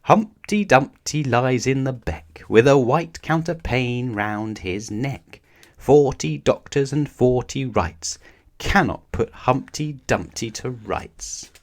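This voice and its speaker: A man's voice: mid-range voice, animated, slow pace. A man voicing a cheeky, rude young male squirrel from a storybook, chanting riddles in a taunting sing-song. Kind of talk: storybook character voice.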